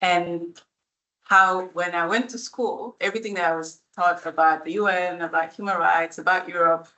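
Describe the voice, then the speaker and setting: A woman's voice: lowish voice, fairly even intonation, moderate pace, sharp tone, low-quality recording. A woman, an international relations academic, thinking aloud in a panel discussion with many restarts. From Belgium. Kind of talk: panel discussion at a book event.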